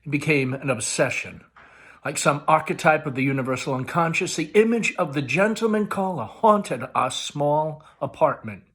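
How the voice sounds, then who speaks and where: A man's voice: on the high side, a bit bright, breathy edge. A man presenting a read-along, narrating a play aloud with informal asides. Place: at home.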